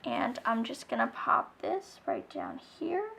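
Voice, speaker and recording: A slightly hoarse young woman chatting casually while she decorates her planner, rambling softly and often dropping to a near whisper. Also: fairly high pitch, huge pitch swings, slow pace, slight background noise. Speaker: planner vlogger.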